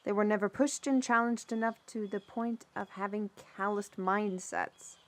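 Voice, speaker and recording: A woman's voice: mid-range voice, fairly even intonation, normal speed, close to an everyday voice. A woman acting an outspoken, resentful woman in a fantasy audio drama, speaking in preachy speeches. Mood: bitter, lecturing.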